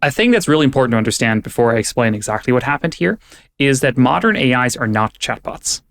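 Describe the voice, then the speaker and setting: A man's voice: medium pitch, big pitch swings, quick pace. A young man from California who grew up partly in Germany, a podcast guest explaining ideas in a thoughtful, conversational way with frequent fillers. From California, raised partly in Germany. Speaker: guest, AI safety advocate.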